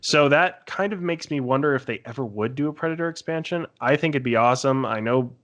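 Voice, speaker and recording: A man's voice: medium pitch, a little fast, a bit bright. A man talking casually as a panellist in a fan discussion podcast.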